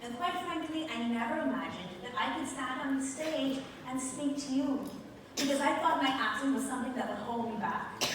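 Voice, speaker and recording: A woman with a Bahamian accent and a fairly high voice delivers a motivational speech from a stage. She speaks emphatically, drawing out key words. The sound is very echoey and a little distant, with clear background noise. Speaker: motivational speaker and show host. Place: large venue on stage with live audience.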